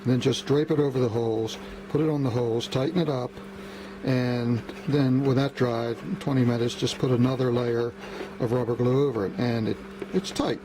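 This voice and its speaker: A man giving a casual, unscripted demonstration as a hobbyist repairer, halting and methodical. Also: mid-range voice, fairly even intonation. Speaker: hobbyist radio restorer. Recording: clearly noisy hobby video recording.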